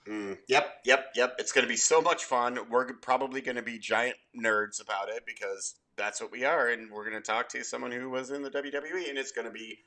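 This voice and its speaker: A man's voice: mid-range voice, fairly even intonation, brisk, hardly any pauses, sharp tone. A man co-hosting a podcast, playful and jokey in casual chat. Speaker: podcast co-host.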